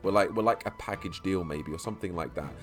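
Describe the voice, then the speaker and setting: A man with a low voice talks casually through his theories for a video, tripping over words and stuttering as he rambles along quickly. He restarts phrases and repeats himself as thoughts pile up. Faint music plays underneath. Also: a bit bright. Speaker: YouTube lore creator. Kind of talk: casual game-lore vlog commentary.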